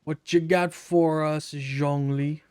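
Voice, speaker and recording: A man's voice: fairly high pitch, fairly even intonation, slow, darkish tone, clear, resonant tone, dry recording, clean studio sound. A man giving casual, sarcastic, irreverent gameplay commentary with plenty of swearing. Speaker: game streamer.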